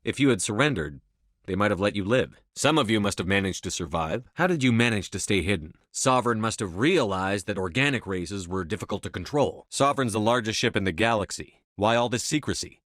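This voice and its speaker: A resolute starship commander in a video game. His voice sits low and is recorded very dry. He speaks tersely, with firm authority, like someone used to giving orders. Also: lively intonation, fairly quick, a bit bright, dry recording. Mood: serious, pressing. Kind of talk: video game voice acting, scripted dialogue lines.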